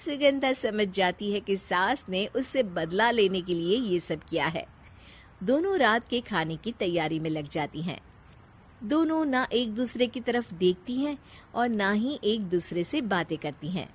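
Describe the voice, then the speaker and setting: A woman narrating a scripted story in an animated, expressive way, with a teasing manner as she addresses the listener. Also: medium pitch, measured pace, slightly rough.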